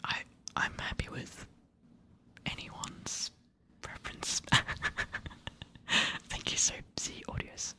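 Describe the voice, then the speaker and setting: An ASMR creator whispering answers to subscriber questions in a soft, hesitant, apologetic way. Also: slow pace, long pauses.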